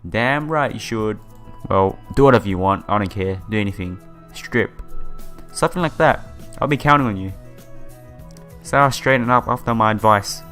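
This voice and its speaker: A man's voice: fairly low pitch, normal speed. A man hosting a casual let's-play, reading game text aloud and adding informal asides. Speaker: gaming video host. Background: soft game music.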